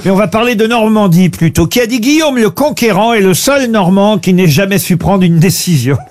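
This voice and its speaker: A man's voice: sits high, animated, fairly quick, a little mellow. Man hosting a radio quiz panel. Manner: reads quotes as quiz questions, calls correct answers. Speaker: radio show host.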